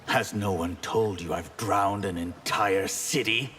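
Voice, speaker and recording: A man voicing a cold, menacing shapeshifting water sorcerer in a fighting game, deliberate and disdainful. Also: fairly low pitch, fairly bright tone, a little hiss or hum. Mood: cold menace. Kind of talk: video game fight intro banter.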